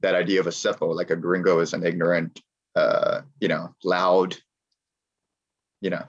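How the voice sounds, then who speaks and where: An American man with a very deep voice talks as an interview guest. He speaks emphatically and circles back to repeat his points. The sound is muffled and lo-fi. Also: little pitch movement, moderate pace. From United States. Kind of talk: casual interview chat.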